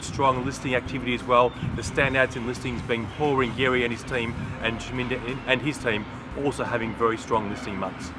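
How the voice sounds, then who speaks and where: Middle-aged Australian man, mid-pitched, enthusiastic agency-update read to camera, outdoors on a lapel mic, music bed clearly audible. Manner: upbeat, promotional, emphatic repetitions. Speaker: real estate agency principal giving a market update. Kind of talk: monthly market update to camera. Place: outdoors by a garden bed under an umbrella. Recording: music playing under the voice. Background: background music.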